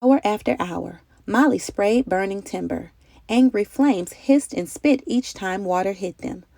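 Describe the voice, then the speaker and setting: A woman narrates a children's storybook with expressive, emphatic phrasing. Her voice sits at a medium pitch with some gravelly fry, recorded close and dry. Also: a little slow, dry recording. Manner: dramatic, carefully phrased storytelling with emphatic delivery. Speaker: storybook reader.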